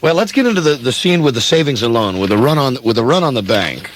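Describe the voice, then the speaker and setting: A man's voice: mid-range voice, big pitch swings, fast talker, slight background noise. An American man hosting a radio show with casual, rambling, teasing banter. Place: radio studio.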